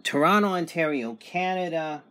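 A man's voice: a little high, normal speed, a bit bright. A man reviewing a radio, listing each station's call letters, city and distance as he tunes. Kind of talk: casual product review. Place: small indoor room at a table.

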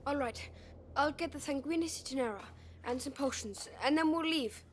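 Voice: high voice, normal speed, slight background noise, lightly acted. A performer voicing a studious, earnest teenage boy alchemist in a dramatic video game, hesitant and thoughtful. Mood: intent. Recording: game soundtrack music behind.

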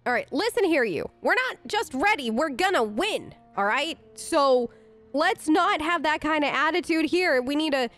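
A woman's voice: high voice, animated, moderate pace, a bit bright, soft background music. A woman role-playing her game character in casual, improvised dialogue, chatty, upbeat and informal.

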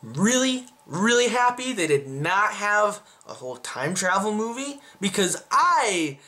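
A young man talks casually to camera in a small room at home. His delivery is animated, with pitch that swings up and down. He rambles and often breaks off to correct himself, like a chatty video reviewer. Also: measured pace. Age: young adult. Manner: animated, rambling, self-interrupting. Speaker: movie reviewer.

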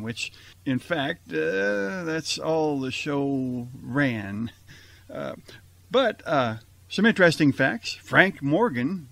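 A man's voice: medium pitch, gravelly, a bit bright, dry recording. A man hosting a radio theater show with a chatty, jokey, theatrical introduction.

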